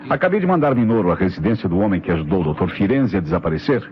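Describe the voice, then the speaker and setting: A Brazilian man playing a methodical, authoritative police inspector in an old radio mystery, explaining in a deliberate, declamatory way. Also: low-pitched, very animated. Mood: assured, expository. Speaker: radio drama actor. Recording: dull, noisy vintage radio recording.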